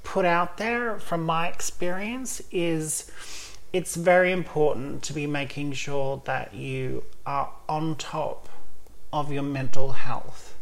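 A woman's voice: low-pitched, takes it slowly, rough recording. A woman talking candidly in a casual chat, laughing often between points.

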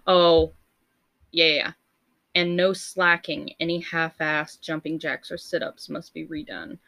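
A young woman with a low voice co-hosting a casual podcast, reading out would-you-rather questions and laughing often. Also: steady tone, measured pace, gravelly, bright, forceful tone. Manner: giggly, loose and chatty. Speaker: podcast co-host.

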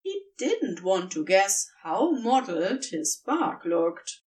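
A woman reading a fiction story aloud as narrator, in an expressive scripted storytelling style. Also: medium pitch, takes it slowly, recorded from a distance.

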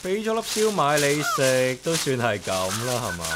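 A man's voice: on the high side, big pitch swings, moderate pace, very noisy. A man with a Hong Kong accent playing a grumpy, solitary, sarcastic man in a comic radio drama, grumbling and muttering to himself. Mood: reluctant, apologetic. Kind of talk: comic radio drama acting and inner monologue.

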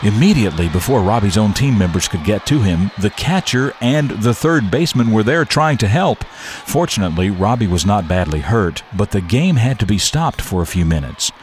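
A man hosting morning radio and narrating stories in an expressive, folksy, emphatic manner. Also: fairly low pitch, moderate pace, slight background noise. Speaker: radio show host and storyteller. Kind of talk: morning radio talk and dramatic story narration.

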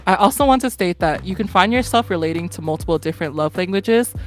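A woman's voice: lowish voice, animated, fast. A woman in her twenties hosting a casual podcast, talking in an earnest, friendly way with frequent fillers and laughs. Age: 20s. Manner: warm, earnest, often laughing, many fillers. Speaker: podcast host.

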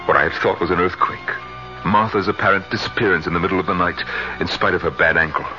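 A man's voice: low voice, quick pace, over music, natural voice. An actor playing a tormented middle-aged man, brooding and dramatic as he lives and narrates his ordeal in a radio drama. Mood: haunted, brooding. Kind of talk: first-person radio drama narration and acting. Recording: muffled 1970s radio broadcast.

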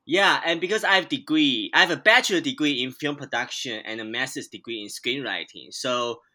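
A man's voice: medium pitch, fairly quick, sharp tone. A man from Taiwan, a podcast guest who talks playfully and laughs often. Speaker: guest, film director and comedian. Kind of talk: casual podcast interview.

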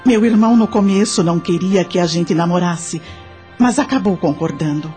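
A woman acting as a widowed mother in a radio drama, with a mellow, fairly low voice. She tells her life story in a measured, confiding way, full of gratitude and remorse, over clear background music. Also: close to an everyday voice. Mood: reflective, confiding. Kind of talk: dramatic first-person radio drama narration.

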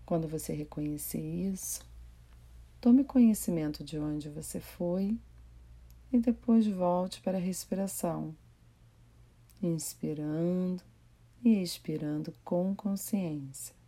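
Brazilian lady doing guided meditation, soft low mellow voice, gentle and takes her time. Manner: gentle, unhurried, with long pauses. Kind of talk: guided body scan meditation.

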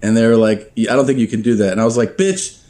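A man telling casual stories as a podcast guest, animated and profane, laughing often. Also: mid-range voice, fast talker. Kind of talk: casual storytelling.

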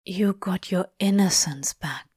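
A woman voicing a gruff, tender, cynical male demon in a slow, hushed murmur, as in an audiobook. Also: on the low side, no echo, studio quality. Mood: tender, sorrowful. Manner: slow, muttering.

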